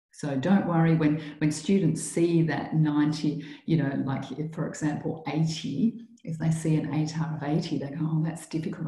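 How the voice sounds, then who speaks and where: A woman's voice: deep voice, dark tone, reverberant, poor audio. A woman from a school giving an informal, chatty talk to prospective students, explaining things with frequent ums and restarts. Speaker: school staff presenting to students.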